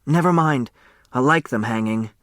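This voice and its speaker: A man voicing a bright, impatient young girl with a blunt, bossy way of talking in an audiobook. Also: mid-range voice, normal speed, no room sound, studio quality, lightly acted.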